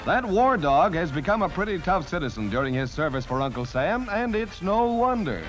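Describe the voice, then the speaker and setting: A man's voice: sits high, big pitch swings, normal speed, over music, low-quality recording. An American man narrating a 1940s newsreel in a punchy, theatrical announcer style. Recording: vintage 1940s newsreel soundtrack.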